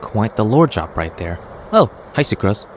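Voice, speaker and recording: Sarcastic gameplay commentator, man, lowish voice. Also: very animated, moderate pace, slight background noise. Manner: wry, sarcastic asides. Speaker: game streamer. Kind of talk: casual commentary over gameplay.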